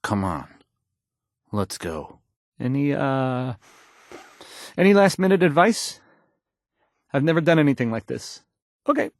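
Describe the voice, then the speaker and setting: A man's voice: medium pitch, sing-song, unhurried, long pauses, no echo, studio quality. An actor playing a nervous, awkward young male radio DJ in a video game, stammering and hesitant as he talks. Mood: nervous, panicky. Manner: stammering, hesitant, unsure of himself. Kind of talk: video game character dialogue.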